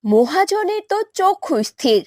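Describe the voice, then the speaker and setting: High, animated female storyteller. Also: a little slow, dry recording, clean studio sound. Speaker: narrator. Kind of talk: scripted storybook narration for a cartoon.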